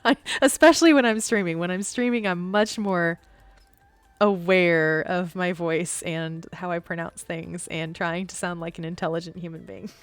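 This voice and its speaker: A woman's voice: on the low side, fairly even intonation, normal speed, no room sound. A woman from Missouri chatting casually while streaming a game, explaining things in rambling bursts with many restarts. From Missouri, USA. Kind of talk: casual chat while gaming.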